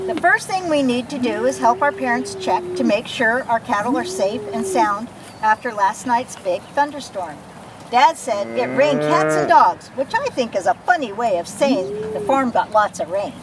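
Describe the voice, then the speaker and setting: A woman reading a children's picture book aloud expressively, as if to young listeners. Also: high-pitched. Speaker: storybook reader. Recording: noisy recording, distant from the mic.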